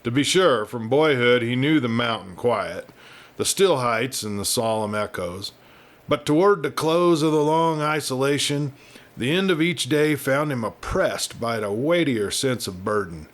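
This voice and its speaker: A man telling a story as host and narrator, in a folksy, measured manner. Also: mid-range voice, animated. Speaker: storyteller host reading a western novel. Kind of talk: folksy scripted audiobook narration.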